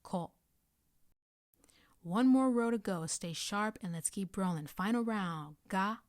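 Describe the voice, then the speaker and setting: A woman's voice: medium pitch, slow, no room sound, studio quality. A woman giving a scripted language lesson as a tutor, guiding pronunciation practice with carefully enunciated examples. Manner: clear instructional delivery, carefully enunciating example sounds.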